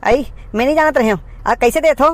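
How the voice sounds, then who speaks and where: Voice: sits high, quick pace, lightly acted. A performer, heard as a woman, voicing a nagging, teasing villager in a cartoon comedy with a Chhattisgarhi accent. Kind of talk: cartoon comedy skit dialogue.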